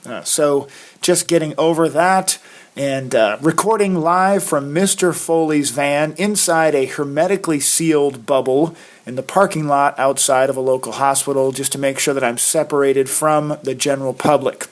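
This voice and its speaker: Raspy-voiced man hosting a finance podcast. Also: on the high side, moderate pace. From Maine. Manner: conversational, folksy, with filler uhs. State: raspy from a cold. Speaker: podcast host, financial planner. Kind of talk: casual solo podcast talk.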